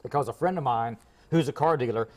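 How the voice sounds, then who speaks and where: A man's voice: mid-range voice, fast talker, a little mellow. A man speaking as a guest expert in a casual interview, explaining things in a plain-spoken, folksy way. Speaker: auto repair shop owner, guest expert.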